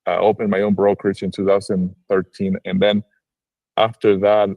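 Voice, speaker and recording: A man's voice: on the low side, little pitch movement, normal speed, creaky, dry recording, dull audio. A man from Argentina, a real estate broker, talking on a livestream video call, hesitant with many restarts and fillers. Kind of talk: casual livestream call-in chat.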